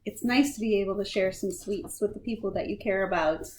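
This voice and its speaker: A woman reading children's stories aloud in an expressive, deliberate storytime style, acting out the words as she goes. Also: medium pitch. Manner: animated, deliberate, acts out lines and sound words. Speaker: storytime reader for a library. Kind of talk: expressive children's picture-book read-aloud. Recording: roomy echo, faint background noise.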